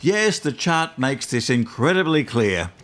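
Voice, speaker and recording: A man's voice: medium pitch, sing-song, a little slow, fairly bright tone. A man playing a smug, bluffing phone astrologer in a comedy podcast sketch, with hesitant, improvised salesman patter. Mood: bluffing, smooth. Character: bluffing male phone astrologer, smugly mystical con man.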